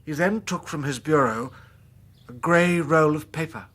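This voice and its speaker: An actor playing an earnest, anguished young British gentleman of the Foreign Office, an invalid, in a radio drama. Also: fairly high pitch, measured pace. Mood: earnest, recollecting. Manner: earnest, troubled.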